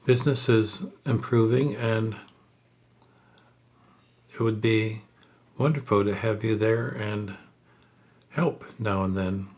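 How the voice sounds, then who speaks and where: Langsam erzählender Mann mit tiefer Stimme. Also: male voice, fairly low pitch, steady tone. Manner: halting, measured delivery in short phrases. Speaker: storyteller. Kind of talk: narrating a story aloud, unpolished.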